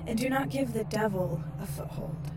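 Scripture reciter, played by a woman: solemn, highish voice, far off under loud noise. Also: moderate pace, close to an everyday voice.

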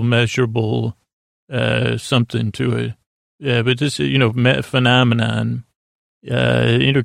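A man hosting a sleep podcast, talking in a soft, lulling, meandering way that stops, restarts and wanders off track. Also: medium pitch, vocal fry, no echo, studio quality. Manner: meandering, self-interrupting, many restarts. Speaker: podcast host. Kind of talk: rambling late-night sleep podcast talk.

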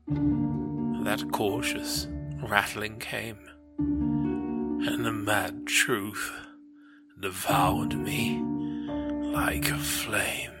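A man reads verse in a measured, solemn way. His voice is low and very steady in pitch, with slow pacing. Music plays behind him. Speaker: poetry podcast host and reader. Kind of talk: dramatic poetry reading and scripted narration.

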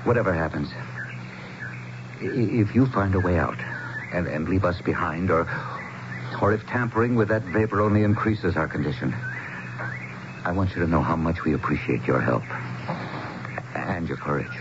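A cautious, loyal town mayor in a 1970s radio drama. He speaks formally and sincerely, in measured phrases. The recording is dull and noticeably noisy. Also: deep voice. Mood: solemn, grateful. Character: earnest, loyal small-town male mayor, cautious and supportive.

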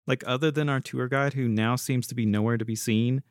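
A man's voice: mid-range voice, steady tone, fairly quick, no room sound, studio quality, natural voice. A man voicing a sassy, impatient young woman in an audio story, with sarcastic, casual delivery. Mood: worried.

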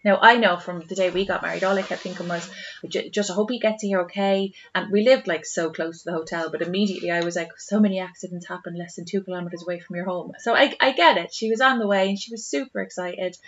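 A woman's voice: on the low side, steady tone, quick pace, fairly bright tone. Irish woman chatting, slightly dull recording. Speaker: podcast co-host. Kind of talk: casual chat.

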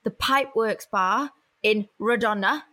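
A woman's voice: mid-range voice, measured pace, sharp tone. A young British woman chatting playfully as co-host, reading light news and giggling over hard place names. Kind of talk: casual chat and news reading.

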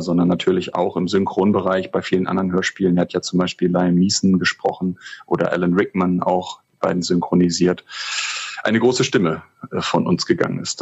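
Male podcast co-host, very low and somewhat raspy, casual but measured delivery, recorded remotely. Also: darkish tone, dull audio. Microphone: remote connection, not in studio.

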